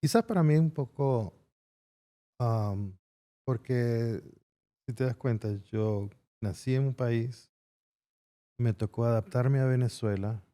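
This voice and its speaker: A middle-aged man from Peru, a guest answering interview questions in a plain, unhurried way. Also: medium pitch, mellow. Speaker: guest, construction entrepreneur. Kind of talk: casual interview conversation.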